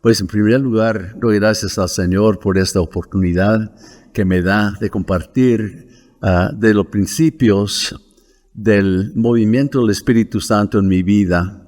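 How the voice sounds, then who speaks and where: Older guy w american accent speaking spanish as a second language, low voice, slow and measured, lots of fillers, reverby hall sound. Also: fairly even intonation, darkish tone. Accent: American English. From United States (Wisconsin). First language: English. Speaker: missionary guest. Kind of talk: recounting personal testimony.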